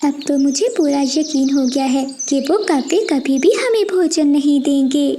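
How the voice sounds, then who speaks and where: A woman's voice: high voice, unhurried, mellow, a little hiss or hum. A woman voicing a kind little female sparrow, earnest and pleading, in a children's cartoon. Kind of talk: animated children's moral story dialogue.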